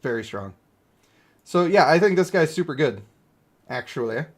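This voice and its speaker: Casual, slightly high-voiced male game streamer. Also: expressive, normal speed. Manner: informal, slangy, profane, thinking aloud. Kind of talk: casual live-stream commentary, reading skill text aloud and reacting.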